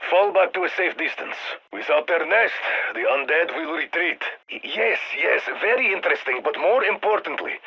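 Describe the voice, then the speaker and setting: A man's voice: on the high side, animated, moderate pace, husky, highs cut off. A man playing a stern, dry Russian military handler in a video game, giving clipped orders over radio in a Russian accent. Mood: urgent, stern. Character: stern, dry Russian soldier commanding a strike team. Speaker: mission handler.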